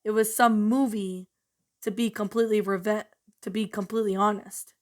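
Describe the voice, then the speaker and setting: A hoarse woman with a cold, hosting her channel and giving a rambling, casual spoken review of a story. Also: mid-range voice, fairly even intonation, normal speed. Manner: rambling, many fillers and restarts, upbeat.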